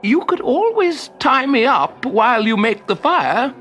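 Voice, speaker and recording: A man's voice: extremely high pitch, very animated, unhurried, a bit bright, soft background music, voice pushed up, heavily acted. A man voicing a grumpy, cunning old wizard in a storybook reading. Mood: sly, gasping. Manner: muttering, grumbling, wheedling.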